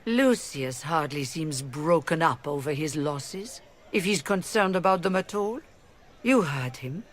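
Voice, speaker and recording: An actress playing a poised, mature mage leader in a game cutscene, speaking in a calm, measured, diplomatic way. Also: female voice, low-pitched. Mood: calm, persuasive.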